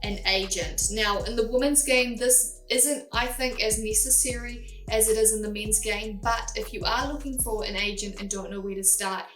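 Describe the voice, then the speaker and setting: A woman's voice: mid-range voice, normal speed, few pauses, fairly bright tone, soft background music. A young woman with a New Zealand accent, hosting a vlog and giving direct, practical, step-by-step tips. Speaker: host. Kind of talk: casual advice vlog. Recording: roomy and a bit distant from the mic.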